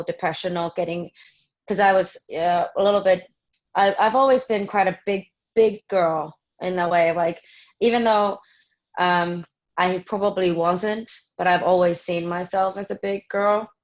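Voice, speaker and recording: A woman in her thirties from Finland, answering interview questions casually, with many pauses, ums and restarts. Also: on the low side, muffled recording. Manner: hesitant, many ums and restarts, laughs often. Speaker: fitness trainer interviewee.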